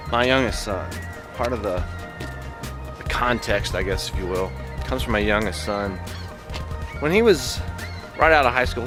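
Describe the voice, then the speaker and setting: A middle-aged man talking casually and reflectively to camera, halting and earnest as he thinks out loud. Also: fairly low pitch. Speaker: vlogger and teacher.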